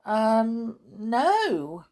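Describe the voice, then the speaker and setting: A woman's voice: on the high side, very animated, drawn-out words, a little mellow, pitched above the performer's own voice, heavily acted. A woman voicing a timid, polite boarding-school boy in a storybook, hesitant and pleading. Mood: nervous, sheepish.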